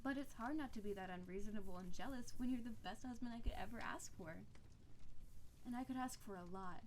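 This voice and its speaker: Woman acting a soft, possessive snow-spirit wife. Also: fairly high pitch, a little hiss or hum, lightly acted, poor audio. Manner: tender, clingy, intense. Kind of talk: intimate scripted audio roleplay monologue.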